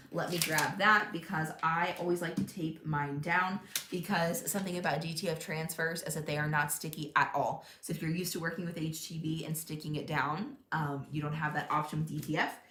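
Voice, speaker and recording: Chatty, low-voiced woman crafting live. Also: fairly even intonation, brisk, roomy sound, slight background noise. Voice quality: loud voice. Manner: chatty, thinks aloud, laughs often, reads viewer comments. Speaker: crafting livestream host. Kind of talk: casual live crafting chat. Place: home craft room. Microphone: tablet mic across the room.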